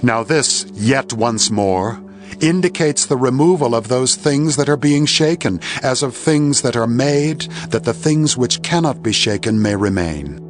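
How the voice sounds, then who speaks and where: Male narrator, solemn scripture reading, mid-pitched voice, measured formal delivery, dramatic emphasis, background music. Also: lively intonation.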